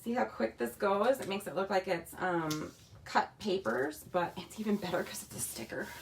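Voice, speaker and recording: A woman's voice: mid-range voice, moderate pace, a little hiss or hum. Chatty woman demonstrating a craft kit. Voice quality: slightly raspy. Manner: chatty, talks while working with her hands. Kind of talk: casual crafting tutorial. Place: home craft room.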